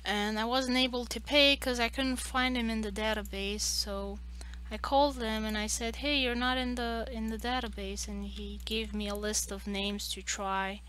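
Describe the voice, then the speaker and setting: A woman with a squeaky voice chatting casually while she draws on a stream, rambling with lots of fillers and restarts. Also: fairly even intonation, normal speed, a bit bright. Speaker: art streamer. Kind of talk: casual chat while drawing.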